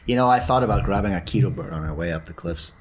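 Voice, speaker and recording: A man's voice: sits low, fast talker. A man chatting casually as a player in a tabletop game, with some faint noise behind him.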